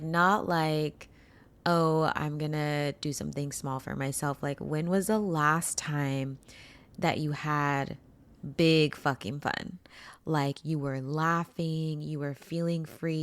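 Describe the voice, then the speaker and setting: A woman hosting her own podcast as a spiritual coach, talking casually and enthusiastically with a hoarse, froggy voice from allergies. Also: low-pitched, no room sound, clean studio sound. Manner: enthusiastic, chatty, with casual swearing. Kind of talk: casual solo podcast talk.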